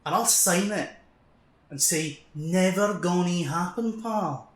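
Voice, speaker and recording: A man's voice: high voice, slow. Guy acting scottish army commander, brash n mocking, defiant, bit of room echo, audio drama. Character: gruff, defiant military commander, combative and mocking.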